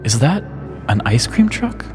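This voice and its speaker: A man's voice: mid-range voice, sing-song, measured pace, music in the background, pitched above the performer's own voice. A man voicing a skeptical thirteen-year-old boy in a comic story. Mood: nervous.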